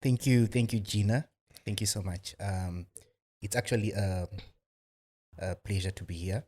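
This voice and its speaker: A man from Zimbabwe with a very low, deep voice talks about his work in a studio interview. He speaks casually, hesitating often, circling back with "um" and repeated words as he finds his way. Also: fairly even intonation, unhurried, a little mellow. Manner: hesitant, many fillers and restarts. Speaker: guest filmmaker.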